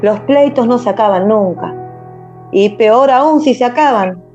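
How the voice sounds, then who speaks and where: A woman's voice: a little high, measured pace, darkish tone. A woman narrating a literary story as a dramatized radio reading. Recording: slightly muffled, music underneath.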